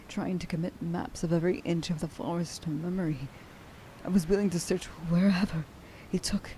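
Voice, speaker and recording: A woman playing a tender, emotional young huntress in an ASMR roleplay, speaking softly and breathily and often dropping toward a whisper. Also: on the low side, slight background noise, close to an everyday voice, poor audio. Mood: pained, sorry. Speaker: voice actor.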